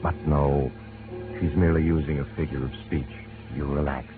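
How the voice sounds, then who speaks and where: Male suspense narrator, extremely low, insinuating, vintage radio band with music underneath. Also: steady tone, narrow, tinny audio. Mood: sinister. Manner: sinister, knowing, deliberate second-person address.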